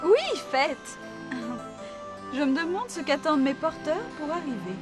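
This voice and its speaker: A woman playing a spirited, imperious young princess in a fairy-tale cartoon, speaking in a theatrical, expressive way. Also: high-pitched, measured pace, over music. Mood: composed. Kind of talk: cartoon voice acting.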